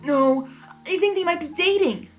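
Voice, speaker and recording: A woman's voice: extremely high pitch, moderate pace, soft background music, voice pushed up. A woman voicing a young male dragon in a storybook reading, lovesick, sulky and eager, with an earnest, whiny way of talking. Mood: sulky, hurt.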